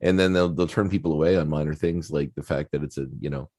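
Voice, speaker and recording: A man's voice: very deep voice, steady tone, fast, darkish tone. A man hosting a podcast, chatting casually with his guest and rambling with lots of fillers. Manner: conversational, rambling with many fillers. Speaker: podcast host. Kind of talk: casual interview chat.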